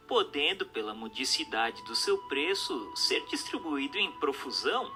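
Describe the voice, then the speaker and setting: A man reading scripted narration in a formal, measured, didactic way. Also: on the high side, bright, forceful tone. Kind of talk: scripted audiobook-style narration. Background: music.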